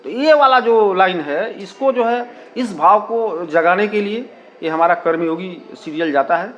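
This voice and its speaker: A man's voice: high-pitched, unhurried, lo-fi sound. A man giving explanatory interview answers, repeating himself and mixing in English words. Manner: repetitive, peppers Hindi with English words and filler phrases. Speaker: community radio station representative being interviewed.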